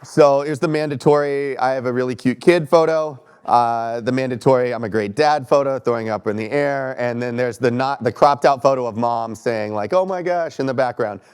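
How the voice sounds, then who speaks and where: A man's voice: medium pitch, normal speed, hardly any pauses, a little mellow, clear, resonant tone. A man in his thirties from Texas with a Texan accent, sounding stuffy from allergies, giving a conversational, self-deprecating conference talk from a stage. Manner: conversational, self-deprecating, asks audience questions. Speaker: keynote speaker. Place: stage in a lecture hall.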